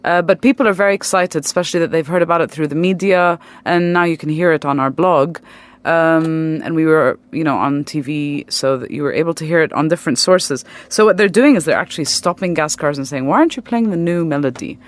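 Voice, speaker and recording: A woman's voice: deep voice, brisk. A woman speaking as an artist guest in a casual radio interview, explaining things in an articulate, conversational way. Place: radio studio.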